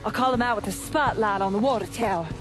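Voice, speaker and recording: Fast, sharp-tongued gangland woman played by an actress. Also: medium pitch, over music, lightly acted. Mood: caustic, urgent. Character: sharp-tongued, resentful young gangland accomplice of Irish boss. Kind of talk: game cutscene acting.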